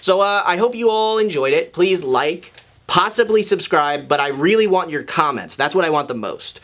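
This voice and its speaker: A man hosting a video channel, talking casually to his viewers in a rambling, halting way as he thinks aloud. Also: a little high, expressive, fairly bright tone. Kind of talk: casual outro addressed to viewers.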